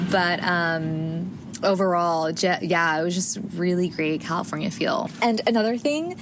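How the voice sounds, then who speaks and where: Chatty American woman podcasting. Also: lowish voice. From United States. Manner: chatty, many fillers and restarts. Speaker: podcast host. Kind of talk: casual solo podcast monologue. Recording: audible background noise.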